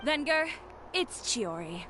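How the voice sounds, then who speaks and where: A woman's voice: a little high, very animated, takes it slowly, background noise. A woman playing a blunt, self-assured young fashion designer in a video game, speaking in a direct, no-nonsense way. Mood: firm. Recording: game music behind.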